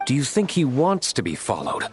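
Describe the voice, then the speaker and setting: An observant young traveler from a video game. He speaks in a mid-pitched voice that moves up and down expressively. He is thoughtful and measured and notices small clues. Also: huge pitch swings. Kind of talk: game voice acting.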